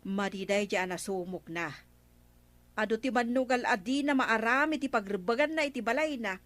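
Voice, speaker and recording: A woman's voice: fairly high pitch, moderate pace, no echo, lightly acted. An actress with an Ilocano accent playing a gossipy, disapproving village neighbour woman in a radio comedy drama.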